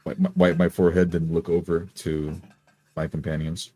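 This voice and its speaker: Male player for fantasy roleplay, very low voice, deliberate first-person delivery with halting phrases. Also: level tone, dark tone, slightly rough. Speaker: tabletop game player.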